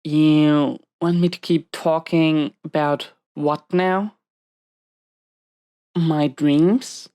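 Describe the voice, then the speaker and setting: A woman performs a dominant female inmate in an intimate audio drama. Her voice is deep for a woman and very smooth, with slow delivery. She gives curt commands, guarded and stern, with a hidden tenderness underneath. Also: a little mellow. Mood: guarded, hesitant. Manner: commanding, clipped orders with hesitant confessions.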